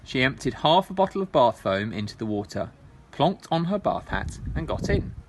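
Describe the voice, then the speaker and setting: Expressive man reading a storybook, very animated. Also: mid-range voice, moderate pace, husky. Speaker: storytime reader.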